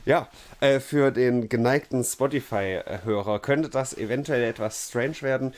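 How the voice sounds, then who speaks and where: Young guy cohosting a live podcast, jokey, messing around with dialect voices, middle pitch. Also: a little slow. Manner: jokey, switches into put-on dialect voices and laughs often. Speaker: podcast co-host. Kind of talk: casual live podcast banter.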